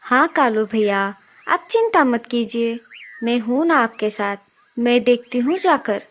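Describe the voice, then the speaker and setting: A woman's voice: a little high, expressive, measured pace. A woman voicing a caring, eager little female bird who reassures others in a children's cartoon story. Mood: reassuring. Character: helpful, caring little female sparrow, loyal sister.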